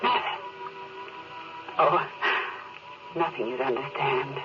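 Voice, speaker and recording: A woman's voice: a bass voice, slow pace. An actress playing a tender, wistful, hesitant mother in 1950s radio drama style. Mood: evasive, subdued. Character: wistful, tender mother estranged from her husband. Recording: muffled old radio broadcast with music.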